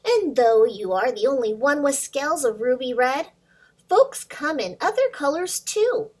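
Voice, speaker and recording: Casting: female children's story time teacher, fairly high voice, unhurried, encouraging and plain-spoken. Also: sing-song, darkish tone, husky. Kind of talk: informal talk and storybook read-aloud for children.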